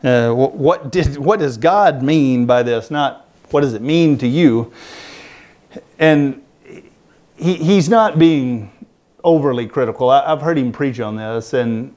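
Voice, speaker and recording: A man's voice: mid-range voice, slow, a little mellow, muffled recording. A man in his thirties preaching a sermon, talking casually with frequent restarts and fillers. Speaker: pastor. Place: church hall with a projection screen.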